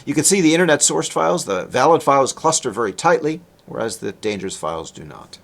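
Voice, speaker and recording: Man lecturing with lively, varied intonation. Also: medium pitch, fast talker, creaky. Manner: explanatory, conversational, with rhetorical questions. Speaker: researcher presenting. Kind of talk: technical lecture with explanatory narration of slides.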